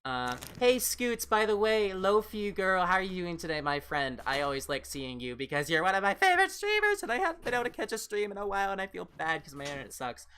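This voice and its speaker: A woman with an American accent and a fairly deep voice, chatting casually as a livestream host and replying to viewers in a rambling, reassuring way. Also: sing-song, fast, hardly any pauses, fairly bright tone, slight background noise. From United States.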